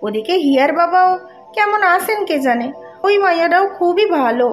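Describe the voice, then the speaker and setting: A woman's voice: very high-pitched, lively intonation, moderate pace. A woman voicing a fussy, grumbling middle-aged mother-in-law in a family cartoon, speaking with an East Bengali accent. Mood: softening, pleased. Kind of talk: animated family cartoon dialogue. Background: soft background music.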